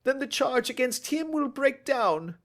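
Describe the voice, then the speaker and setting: A man voicing a cringing, terrified male thief in an audiobook, with a cracked, shrill, pleading voice. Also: very animated, measured pace, a bit bright, heavily acted. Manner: pleading, servile, quavering. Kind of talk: audiobook character voice.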